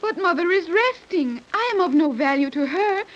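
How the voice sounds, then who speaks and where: Very high-pitched pleading girl. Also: animated, normal speed. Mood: plaintive. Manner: formal, pleading, insistent. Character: anxious, pleading girl with formal speech. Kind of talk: 1930s radio serial acting. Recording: dull lo-fi 1930s radio recording.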